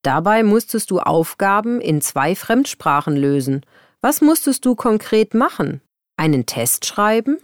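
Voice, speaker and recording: A woman reading scripted exam texts and interview questions in a clear, lively way. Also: medium pitch, unhurried, dry recording, clean studio sound. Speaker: exam recording reader.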